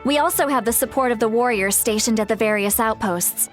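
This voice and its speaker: A woman's voice: a little high, a little fast, faint music behind, natural voice. A woman playing a composed young leader in a game who speaks firmly. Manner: firm, composed. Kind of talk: game dialogue.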